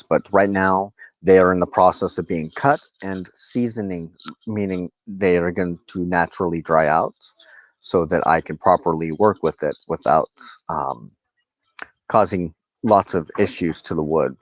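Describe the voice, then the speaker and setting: A man hosting a spiritual ministry livestream, talking unscripted in a halting way, often pausing mid-phrase. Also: low-pitched, dry recording, poor audio.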